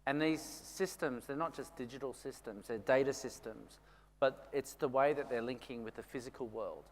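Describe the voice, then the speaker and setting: A man's voice: medium pitch, moderate pace. Enthusiastic man moderating a panel. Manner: enthusiastic, affirming with "yeah". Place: large conference stage. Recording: long hall reverb.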